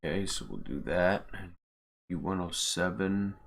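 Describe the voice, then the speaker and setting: A man's voice: low voice, measured pace, gravelly, fairly bright tone, slight background noise. A man chatting casually on a livestream as he works, informally muttering his steps to himself. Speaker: streamer and mod maker. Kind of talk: casual livestream chat while editing.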